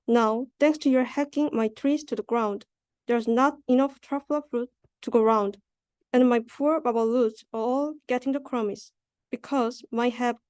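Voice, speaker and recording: Higher-voiced young woman reading a rhyming storybook. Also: darkish tone, clear, resonant tone, no room sound, clean studio sound. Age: young adult. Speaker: student reader.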